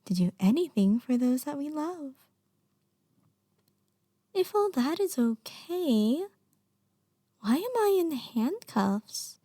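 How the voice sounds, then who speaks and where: A high, soft-toned young yandere girl played by a woman speaks very slowly and close in a dry recording. She is coy, pouty and lovestruck, slipping into half-whispers and bashful hesitations in an intimate ASMR roleplay. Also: no room sound, heavily acted. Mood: playfully defensive. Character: lovestruck obsessive young yandere girl under arrest, playfully unrepentant.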